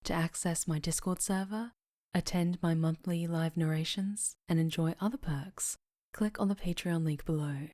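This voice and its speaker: A woman's voice: on the low side, steady tone, normal speed, dark tone, no echo. A woman reading a scripted channel outro as the creator, close on the microphone.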